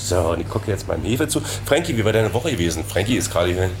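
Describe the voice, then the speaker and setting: A man's voice: sits low, very animated, racing. Middle-aged man, casual show host chatting in a bar, very noisy background with clinking dishes. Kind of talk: casual bar interview and chat. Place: inside a bar. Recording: loud clattering bar noise.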